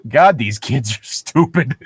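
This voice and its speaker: A man's voice: medium pitch, animated, a little slow, breathy edge, no room sound. A man reading a story aloud mockingly, with casual, sarcastic joking and some swearing. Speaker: co-host of a comedic story reading.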